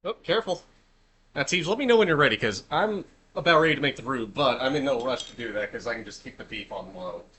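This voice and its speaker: Man, home kitchen, easygoing stream chat, cooking, tense bright voice. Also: medium pitch, expressive, fast talker, slight background noise. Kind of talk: casual chat while cooking.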